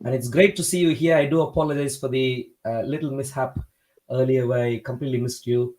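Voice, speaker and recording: A man from India hosting a debate livestream, talking in a measured, polite way with frequent hesitations. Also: medium pitch, fairly even intonation, darkish tone, rough recording. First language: not Hindi. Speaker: livestream host.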